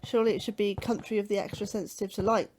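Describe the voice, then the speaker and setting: An actress playing an anxious, questioning astrobiologist with a nervous, hesitant delivery in a sci-fi horror audio drama. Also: female voice, mid-range voice, fairly even intonation, fast talker, background noise, lightly acted. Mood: questioning, uneasy.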